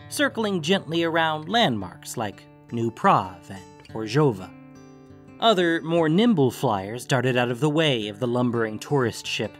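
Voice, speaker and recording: A man reading scripted fantasy fiction as an audiobook narrator. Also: medium pitch. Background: music.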